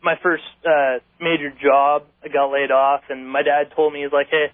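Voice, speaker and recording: A young man calls in to talk radio over a narrow phone line. He has a slightly high voice and speaks casually, in a halting, informal way. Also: little pitch movement, no room sound. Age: 20s. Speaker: radio show caller. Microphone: telephone.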